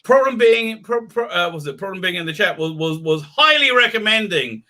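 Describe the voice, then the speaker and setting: A man chatting on a video call as interviewer, excitable and tripping over his words. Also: high-pitched, moderate pace. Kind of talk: casual video chat.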